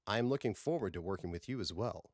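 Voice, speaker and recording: A precise, cautionary female ship's doctor, read by a male narrator in a science fiction audiobook. The voice is formal and low, with a dry, close sound. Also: animated, fast, no echo.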